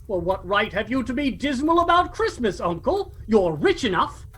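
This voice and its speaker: An actor playing a cheerful, warm-hearted nephew in a radio play, speaking in an earnest, declamatory way. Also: male voice, very high voice, big pitch swings, normal speed, a little hiss or hum, heavily acted. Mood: teasing, good-humoured.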